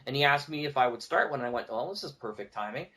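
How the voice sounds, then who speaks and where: Animated man chatting as a podcast guest. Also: medium pitch, fast. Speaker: podcast guest, audio drama producer and show host. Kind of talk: casual conversational interview.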